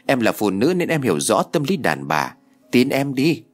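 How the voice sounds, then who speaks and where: Male narrator as a scheming mistress, sugary and coaxing, animated delivery. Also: medium pitch, moderate pace. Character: young mistress, sweet-talking and scheming.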